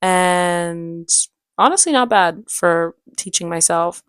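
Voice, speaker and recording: A woman's voice: on the low side, slow, clear, resonant tone, dry recording. Young woman chatting casually, dry close sound. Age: young adult. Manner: animated, slangy, self-dramatizing. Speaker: vlogger and aspiring actress. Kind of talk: casual chatty vlog storytelling.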